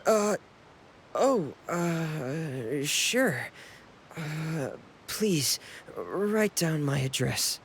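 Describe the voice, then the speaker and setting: A woman voicing a shy, gloomy, soft-spoken teenage boy who talks haltingly and reluctantly in an anime-style video game. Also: low voice, big pitch swings, slight background noise, full character voice. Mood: shy, hesitant.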